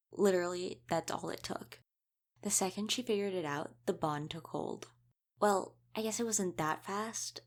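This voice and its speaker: A young woman playing a friendly, chatty werewolf college student in a casual audio roleplay, rambling through explanations straight to the listener. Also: medium pitch. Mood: chatty, fond.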